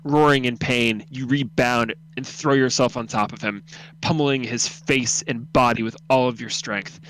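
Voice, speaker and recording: Guy narrating horror radio drama, mid pitched voice, intense suspenseful read. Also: steady tone, moderate pace, fairly bright tone, a little hiss or hum, poor audio.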